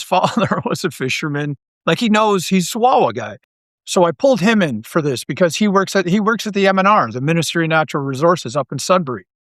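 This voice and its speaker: A man from Canada chatting casually as an interview guest, an animated storyteller who rambles and repeats his phrases. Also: a little high, no echo, studio quality. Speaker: interview guest, audio drama writer.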